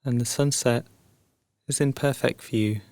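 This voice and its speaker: A man guiding a sleep meditation as a narrated story, soothing and hushed, leaving long pauses between phrases. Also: mid-range voice, steady tone, no room sound.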